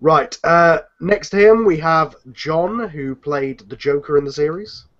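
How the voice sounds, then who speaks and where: Higher-pitched man hosting over dull call audio. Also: unhurried, fairly bright tone. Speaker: host of an online cast chat. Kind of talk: casual panel hosting. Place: online group video call.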